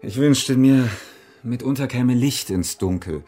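Man acting loyal worried monk, radio play, mid-pitched, grave, earnest, faint music. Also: a little slow, mellow, lightly acted. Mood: indignant.

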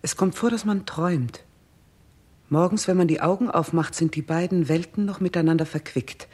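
Solemn stern queen, husky timbre, measured, commanding, composed, old radio drama. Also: on the low side, darkish tone. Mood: solemn, hypnotic. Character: stern, composed queen guiding a king toward death. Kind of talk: 1960s German radio play acting.

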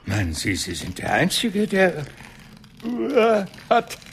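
A pompous, bossy banker. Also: male voice, a little high, huge pitch swings, slow pace, a bit bright, a little hiss or hum, exaggerated voice. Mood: imperious. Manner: pompous, commanding. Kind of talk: radio play acting.

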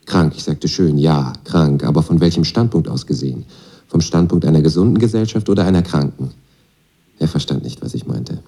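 Very deep-voiced young disillusioned student. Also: male voice, brisk, vocal fry, dark tone, husky, exaggerated voice. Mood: defiant. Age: young adult. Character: disillusioned young Moscow student, pacifist son of army father. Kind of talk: radio drama monologue, first-person testimony.

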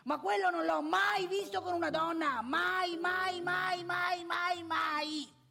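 A man's voice: very high-pitched, fairly even intonation, slow, creaky, music in the background, heavily acted. An actor playing an older family man, a grumbling, confiding father in a colloquial theatrical monologue, repeating himself and addressing the listener directly. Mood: wry, confiding.

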